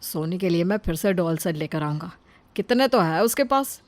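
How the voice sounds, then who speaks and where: An affectionate young family man played by a woman in an animated moral tale. He speaks fondly and earnestly, as an uncle and father. The sound is dry and close. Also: fairly low pitch, normal speed, dry recording, close to an everyday voice.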